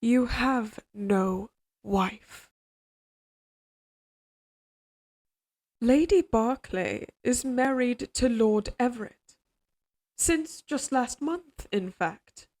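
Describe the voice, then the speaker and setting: A woman playing a regal, possessive queen in an audio roleplay drama, speaking in formal, measured courtly phrases, tender yet controlling. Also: fairly high pitch, animated, darkish tone. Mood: coolly firm.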